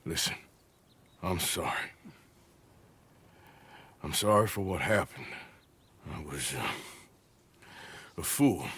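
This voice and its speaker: An actor playing a weary, remorseful outlaw in a video game, with a rough American drawl and blunt, earnest delivery. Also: male voice, on the low side, sharp tone, full character voice. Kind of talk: video game cinematic acting.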